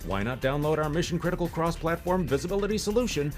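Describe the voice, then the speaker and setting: A man's voice: fairly high pitch, lively intonation, quick pace. A man reading a parody corporate commercial in salesman-like patter. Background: faint music.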